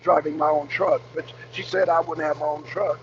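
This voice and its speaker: A raspy-voiced man hosting a casual rant to his viewers from his truck, blunt and profane as he calls out rivals. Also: a little high, fairly even intonation, normal speed, lo-fi sound, slight background noise. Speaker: host. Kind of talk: casual rant to a live audience. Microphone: phone camera.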